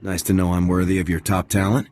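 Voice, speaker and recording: An actor playing a resolute, blunt soldier with a clipped military manner in a video game. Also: male voice, deep voice, level tone, fast, darkish tone, natural voice. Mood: businesslike. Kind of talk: video game cutscene dialogue.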